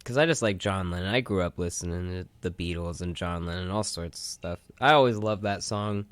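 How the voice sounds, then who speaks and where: Clear, lowish-voiced man chatting casually. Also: fairly quick, dry recording. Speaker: co-host of a retrospective radio show. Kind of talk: casual chat.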